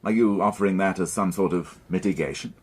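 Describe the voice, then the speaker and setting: An actor playing a brusque, exasperated police inspector in a radio crime drama. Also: male voice, deep voice, a little fast, husky. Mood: grave. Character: brusque middle-aged male police inspector. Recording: radio drama with background noise.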